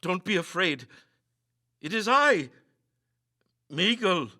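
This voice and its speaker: Man acting out a frightened, urgent man, sharp-voiced. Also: sits high, very animated, slow, dry recording, clean studio sound, voice pushed up, full character voice. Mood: fearful calling. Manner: strident, urgent, stammering when scared. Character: frightened man in a haunted house, anxious and urgent. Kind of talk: dramatic ghost story reading.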